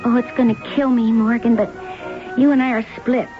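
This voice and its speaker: An actress playing a cool, calculating lover and co-conspirator in a 1970s radio drama, worldly and cautioning. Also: female voice, fairly high pitch, fairly even intonation, a little fast, close to an everyday voice. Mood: firm, cautioning. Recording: dull vintage radio broadcast with music.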